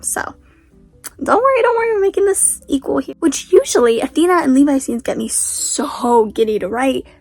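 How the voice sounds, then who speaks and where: A woman's voice: a little high, gravelly, no room sound. A teenage girl and author vlogging casually, rambling in a stressed, self-mocking way with a sleepy-sounding voice. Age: teens. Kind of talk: casual vlog chat.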